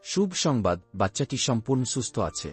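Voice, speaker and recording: A man playing a grave hospital doctor in an audio story, speaking in a measured, formal way. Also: mid-range voice, very animated, breathy edge. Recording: music under the voice.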